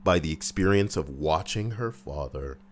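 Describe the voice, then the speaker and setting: A man's voice: sits low, expressive, moderate pace, background noise. A man reading stories aloud on a livestream, chatting casually and reacting to what he reads. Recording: guitar music playing underneath.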